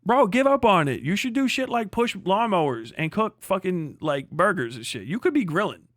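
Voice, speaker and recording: High-pitched man ranting, profane and mocking. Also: big pitch swings, normal speed, fairly bright tone, dry recording, clean studio sound. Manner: profane, mocking, rambling off the cuff. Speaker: livestream host. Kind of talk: casual reaction commentary, ranting.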